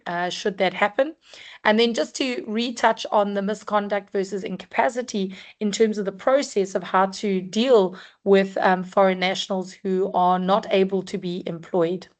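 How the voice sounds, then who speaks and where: Croaky-voiced woman presenting a webinar. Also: medium pitch. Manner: measured, explanatory, frequent ums. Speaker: webinar presenter on labour compliance. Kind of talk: scripted-free webinar talk.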